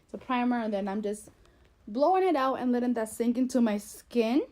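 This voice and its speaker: A woman's voice: a little high, fairly quick, warm tone. A young woman in her twenties, congested from a cold, chatting through a makeup tutorial in her bedroom with plenty of fillers. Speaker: beauty vlogger. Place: bedroom at home.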